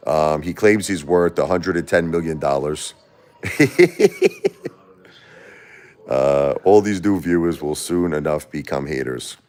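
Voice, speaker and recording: A man with a very low voice chats on his home livestream. He talks bluntly, doubles back on his words and argues with critics in the chat. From Brooklyn, New York. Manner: blunt, repeats himself, spars with critics. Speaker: livestream host. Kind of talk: casual livestream chat answering viewers.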